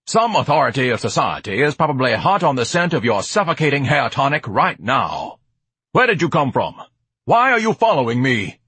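A man's voice: medium pitch, big pitch swings, moderate pace, bright, forceful tone, husky, no room sound, voice pushed up, full character voice. A man voicing a pompous, indignant, gluttonous educated man with grandiose, haughty diction in an audiobook. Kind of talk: audiobook character acting.

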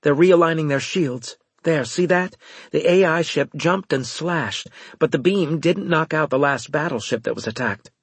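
Guy doing a calm clinical woman officer, higher husky voice, deadpan, audiobook dialogue. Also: normal speed, no room sound. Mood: focused. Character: cool-headed female officer reporting on a space battle.

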